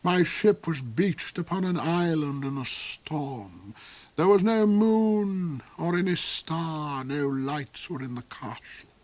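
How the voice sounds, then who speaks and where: A man voicing a cold, cruel duke in a storybook reading, with shrill, theatrical outbursts. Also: lively intonation, slow. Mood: brooding.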